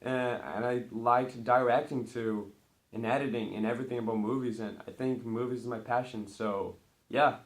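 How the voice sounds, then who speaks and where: A teenage boy from Brazil who grew up speaking Portuguese talks casually to his camera. He rambles cheerfully, his voice at an everyday pitch, and he gets louder and brighter each time he starts a new numbered item. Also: steady tone, moderate pace. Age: teens. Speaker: vlogger.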